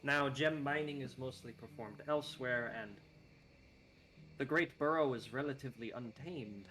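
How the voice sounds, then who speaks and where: A man's voice: medium pitch, moderate pace, pushed and bright, slight background noise, lightly acted, poor audio. A man doing a courteous scholarly desert guide. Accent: put-on Icelandic. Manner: formal, explanatory.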